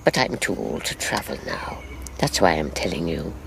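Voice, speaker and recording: An actress playing an elderly Irish grandmother in a radio drama, recounting her past in a measured, sorrowful, wistful way. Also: extremely low pitch, animated, creaky, slight background noise, heavily acted. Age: older.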